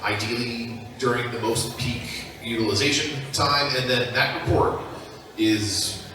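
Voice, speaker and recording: A man's voice: mid-range voice, steady tone, measured pace. An American man on a conference panel, speaking hesitantly with many fillers. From United States. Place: large conference hall. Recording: echoey hall, distant mic, crowd behind.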